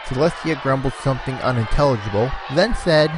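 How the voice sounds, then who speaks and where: A man's voice: mid-range voice, animated, fairly quick, background noise. A man narrating a scripted story expressively, as the narrator of an audio fan fiction.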